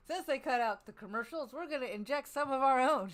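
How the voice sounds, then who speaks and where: A woman hosting a casual panel stream with joking, irreverent chat. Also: high voice.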